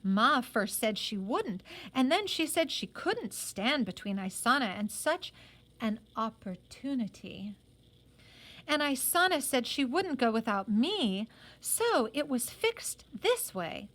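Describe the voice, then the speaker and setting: A dreamy teenage working girl in a storybook, voiced by a woman narrator. Her voice is high and lively in melody, and she recounts things in a musing, matter-of-fact way with small pauses. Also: heavily acted.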